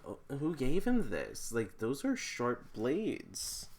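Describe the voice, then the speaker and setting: A man's voice: medium pitch, wildly swooping pitch, slow pace, darkish tone. A man chatting casually as he reacts to books, with playful, incredulous commentary and slangy asides. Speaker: book vlogger. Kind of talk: casual reaction read-along chat.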